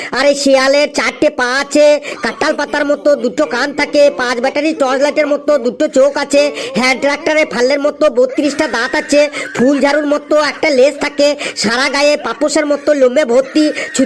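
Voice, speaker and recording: An excitable village schoolchild in a comedy cartoon, played by a woman. The voice is high, with earnest, exaggerated classroom delivery. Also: close to an everyday voice. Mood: earnest reciting. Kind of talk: Bengali comedy cartoon dialogue.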